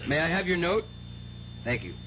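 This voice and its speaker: A man's voice: a little high, sing-song, normal speed, over a noisy crowd. Earnest young man, muffled old radio. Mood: showman's patter. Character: earnest, devout young stage mind reader in love. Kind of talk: 1970s radio drama acting. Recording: dull, noisy 1970s AM radio broadcast.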